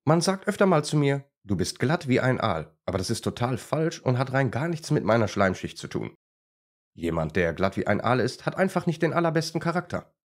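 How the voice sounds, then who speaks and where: Man as a lively, eager storybook newt. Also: mid-range voice, fairly quick, pitched above the performer's own voice. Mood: earnest. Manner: chatty, eager, explanatory. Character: proud, enthusiastic male crested newt.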